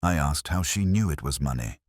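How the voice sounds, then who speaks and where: Very deep-voiced male detective-story narrator. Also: expressive, dry recording, clean studio sound. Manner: literary, measured phrasing. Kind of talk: scripted first-person detective story narration.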